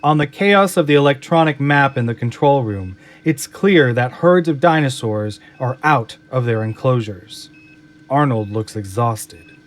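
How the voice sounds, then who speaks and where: A man narrating a scripted audio drama in a measured, descriptive manner. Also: mid-range voice, lively intonation.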